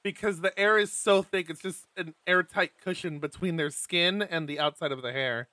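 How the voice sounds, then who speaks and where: A man with a fairly high, bright and forceful voice, hosting a live game stream. He reads a visual novel's text aloud, breaks off into casual, self-deprecating asides, and sits close to a dry, dead-sounding streaming mic. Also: moderate pace, dry recording. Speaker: streamer host.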